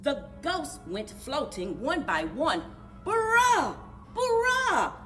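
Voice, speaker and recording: Animated, high-voiced woman reading a storybook. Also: takes it slowly, soft background music. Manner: animated, rhythmic singsong. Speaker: library story time reader. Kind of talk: children's storybook read-aloud with chanted refrains.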